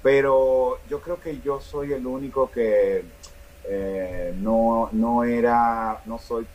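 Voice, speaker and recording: A man's voice: mid-range voice, fairly even intonation, slow, a little mellow, low-quality recording. A Dominican man with a Dominican Spanish accent, a band singer talking casually in an interview, rambling with many restarts and fillers. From Dominican Republic.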